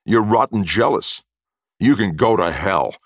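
Casting: narrator acting a loud, brash, excitable working guy for a storybook, slangy, with big pitch swings. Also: normal speed, creaky, no echo, clean studio sound, exaggerated voice. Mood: shocked, angry. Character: brash, eager male shop partner, girl-crazy and excitable. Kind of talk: audiobook character voice.

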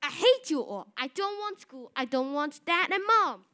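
A young performer voicing a rude, sulky schoolboy in a school radio drama. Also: female voice, sits high, animated, moderate pace, bright, forceful tone. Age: child performer. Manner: whiny, sulky outbursts.

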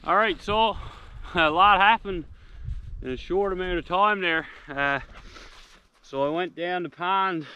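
A man in his thirties chats casually to a camera, easygoing and full of fillers. His voice sits a little high for a man. The sound comes from a camera mic out on an open frozen pond, with strong wind noise running underneath. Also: poor audio. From Newfoundland, Canada. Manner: relaxed, chatty, with frequent fillers and excited whoops. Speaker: outdoors vlogger. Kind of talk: casual vlog narration. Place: outdoors on a frozen pond in winter. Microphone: camera-mounted mic from a distance.

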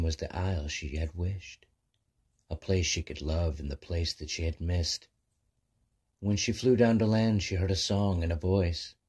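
Deep husky guy reading a rhyming bedtime story for kids. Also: a little mellow.

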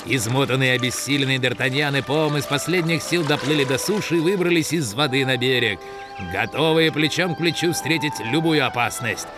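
A man's voice: mid-range voice, a little fast. A man giving dramatic scripted cartoon narration in a theatrical, suspenseful manner. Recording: loud music under the voice.

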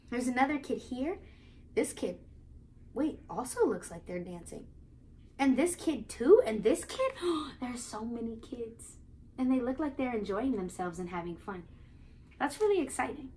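A young woman with an American accent hosts a storybook read-aloud for little kids. Her voice sits on the high side, and she speaks expressively and playfully, moving between teacherly explanation and sing-song reading. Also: slight background noise. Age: young adult. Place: small living room, indoors on a couch.

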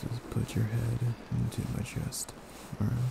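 A young man performing soft, intimate ASMR as a gentle boyfriend, speaking in a hushed, tender, coaxing way. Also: mid-range voice, completely flat delivery, measured pace. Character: gentle protective boyfriend soothing partner to sleep. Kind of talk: soft intimate ASMR sleep-aid roleplay. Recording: clear background noise, ambient hiss.

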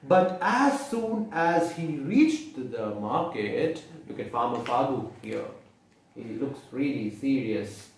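A man's voice: medium pitch, slow pace, a little hiss or hum. A man with an Indian accent reading a picture book aloud to children, expressively explaining and asking questions as he goes. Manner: expressive, repeats and explains for young listeners. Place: small room indoors, seated at a table. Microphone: camera mic from a few feet away. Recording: echoey room, distant microphone.

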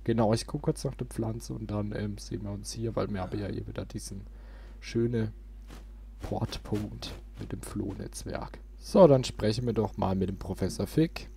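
A man's voice: on the low side, moderate pace, vocal fry, warm tone, slight background noise. A man giving casual gameplay commentary as a let's play host.

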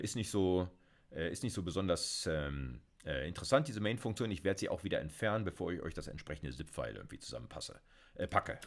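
A middle-aged man from Germany giving a recorded programming lecture from a small home office, talking casually as he types and explains code, with frequent fillers. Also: low-pitched. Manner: conversational, explains while typing, many fillers. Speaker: university lecturer.

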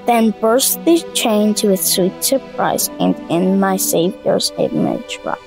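A woman playing an uneasy dreamer haunted by a ghost, talking to herself haltingly. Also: medium pitch, huge pitch swings, vocal fry, over music, exaggerated voice. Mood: gentle, solemn. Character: uneasy dreamer drawn to mend a ghost's gravestone.

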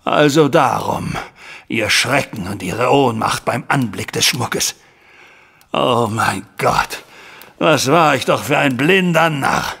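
A distraught older father in an audio drama. The voice is creaky and tense, with slow, halting phrases. He sounds shaken and self-reproaching. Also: mid-range voice, expressive, fairly bright tone, exaggerated voice. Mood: anguished, stunned. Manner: halting, anguished. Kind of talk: German audio drama acting.

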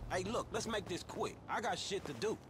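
A man's voice: high-pitched, normal speed, close to an everyday voice. A man playing an exasperated, reluctant young streetwise guy in a video game, grumbling in profane slang. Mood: grudging, annoyed. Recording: loud car and street noise. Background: loud car engine and street ambience.

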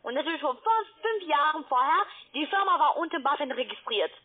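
A woman's voice: high voice, animated, brisk. A woman call centre agent with a foreign accent, a non-native speaker, explaining things in a phone conversation. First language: not German. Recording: tinny phone line.

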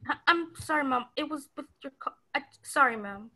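Actress as a high, bright-voiced put-upon maid. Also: female voice, animated, fairly quick. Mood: apologetic. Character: put-upon young female maid, polite but defiant. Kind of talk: stage-style mystery radio play acting.

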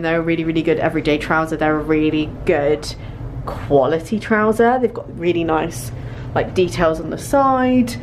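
Young british woman doing a chatty try-on vlog, lowish voice, bit of background noise. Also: darkish tone. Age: young adult. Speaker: fashion vlogger. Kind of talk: casual chat to camera while trying on clothes. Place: small clothing store fitting room. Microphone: handheld vlogging camera.

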